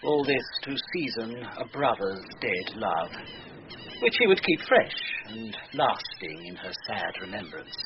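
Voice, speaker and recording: A man's voice: fairly low pitch, sing-song, a little slow. An actor playing a deferential courtier reporting to his lord in a classical Shakespearean radio drama, formal and declamatory. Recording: muffled, noisy radio broadcast.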